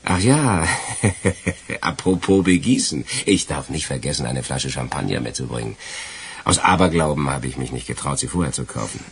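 Very deep, suave radio-play gangster. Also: male voice, lively intonation, moderate pace, slightly rough, heavily acted. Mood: brisk, reassuring. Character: suave philosophizing gangster, loyal older accomplice. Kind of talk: German radio crime drama acting.

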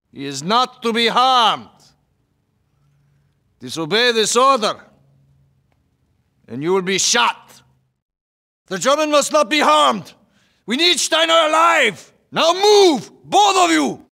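An actor playing a cold, menacing Soviet military villain in a video game, with a Russian accent and a deliberate, commanding delivery. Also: male voice, sits high, sharp tone, heavily acted. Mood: stern authority, then angry, barking. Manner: slow, deliberate, commanding, threatening.

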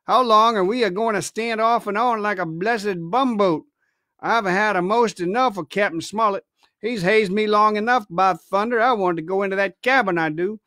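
A man's voice: sits high, moderate pace, sharp tone, no room sound, studio quality. A man reading a storybook, voicing an impatient, mutinous pirate coxswain who grumbles in colloquial sailor speech. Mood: impatient, grumbling.

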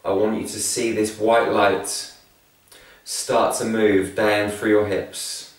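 Very echoey, distant recording, man, lowish voice, calm meditation guide, soothing, long pauses. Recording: very echoey room, distant from mic.

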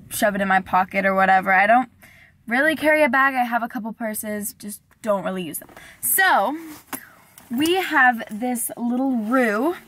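A young woman vlogging casually from inside a parked car, chatty and giggly, saying "like" a lot. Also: fairly high pitch. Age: young adult. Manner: chatty, giggly, says "like" often. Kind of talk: casual vlog chat. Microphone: camera on the dashboard.

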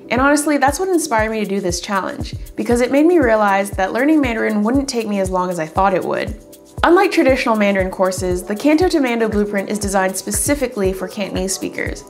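A woman in her twenties narrating a casual vlog from a small home room, chatty and self-deprecating. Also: mid-range voice. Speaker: vlogger. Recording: music bed under the voice.

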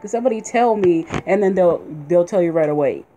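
A woman's voice: fairly low pitch, fairly quick, mellow. A woman chatting casually and emphatically, repeating phrases for effect, recorded on a phone in a small bedroom. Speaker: interviewer behind the camera. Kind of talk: casual chat. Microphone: phone camera held by the speaker. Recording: noisy handheld home phone video. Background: clear background noise.

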